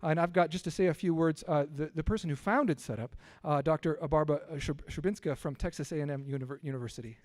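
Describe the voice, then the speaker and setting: Halting male host, dry close sound. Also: fairly high pitch, a little mellow, no echo. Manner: halting, many filler words and restarts. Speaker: science communicator, event host. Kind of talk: event introduction and sponsor thanks. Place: lecture event hall.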